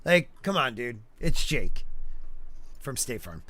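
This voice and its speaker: A man hosting a podcast in casual chat, loud and joking, reading out names from the chat. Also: mid-range voice, very animated, slow, no echo. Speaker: podcast host.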